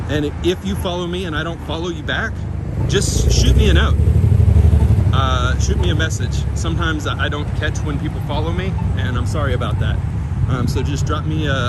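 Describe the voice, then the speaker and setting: Kentucky man with a Southern twang on a street. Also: on the high side. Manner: friendly, reads out viewer comments. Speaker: walking vlog host. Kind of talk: live-stream chat while walking. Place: outdoors on a city street. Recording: street noise behind the voice. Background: traffic noise.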